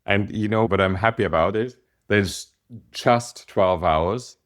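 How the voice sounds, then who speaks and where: A man from Austria with an Austrian German accent, a business coach talking casually and hesitantly to an online group, with many pauses and ums. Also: on the low side.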